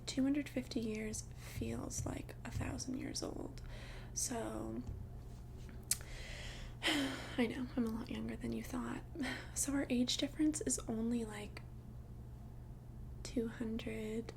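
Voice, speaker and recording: A woman playing a centuries-old vampire who looks like a young adult, confiding casually in a breathy, hesitant ASMR whisper. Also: fairly high pitch, background noise, heavily acted. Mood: sheepish. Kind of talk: soft-spoken whispered ASMR roleplay.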